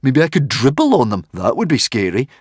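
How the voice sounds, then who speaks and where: A man's voice: on the low side, sing-song, fairly quick, vocal fry, a bit bright, dry recording, studio quality, exaggerated voice. A man doing a battered, wry young boy. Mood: desperate. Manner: raised, disbelieving and self-mocking. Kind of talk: audiobook character voice.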